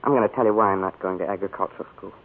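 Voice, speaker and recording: An actor playing a shy, awkward young groom in a 1940s radio drama, hesitant and stammering. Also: male voice, sits low, rapid-fire. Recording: tinny old radio broadcast.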